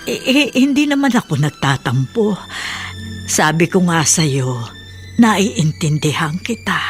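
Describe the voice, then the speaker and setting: A woman's voice: lowish voice, very animated, a little slow, faint music behind. An actress playing a kindly middle-aged housekeeper in a Tagalog radio drama, motherly and deferential yet probing, sometimes hesitant. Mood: hurt, deferential.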